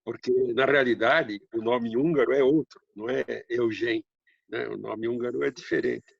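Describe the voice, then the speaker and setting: An older man whose first language is Hungarian, speaking with a slight Hungarian-tinged accent and a heavy R, telling stories in an unhurried, rambling interview manner. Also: mid-range voice, fairly even intonation, creaky, husky, dry recording, highs cut off. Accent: slight Hungarian-tinged Brazilian Portuguese, heavy R. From Brazil, raised in São Paulo. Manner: unhurried, rambling, frequent tag questions. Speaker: guest writer. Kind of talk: casual interview storytelling.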